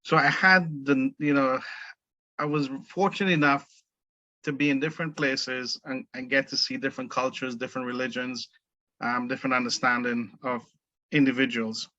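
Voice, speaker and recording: A middle-aged man from the Caribbean, a guest in a casual interview, speaking in a measured, earnest way with many fillers and restarts. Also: medium pitch, fairly even intonation, sharp tone, dry recording, muffled recording. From Caribbean, born in Trinidad, raised in Barbados. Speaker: guest, entrepreneur.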